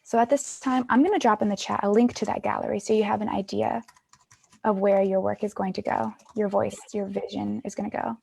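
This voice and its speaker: A woman's voice: mid-range voice, fairly even intonation, fairly quick, vocal fry, darkish tone, husky. A woman in her twenties guiding an online creative workshop for young people in an encouraging, reflective way. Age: 20s. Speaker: workshop facilitator.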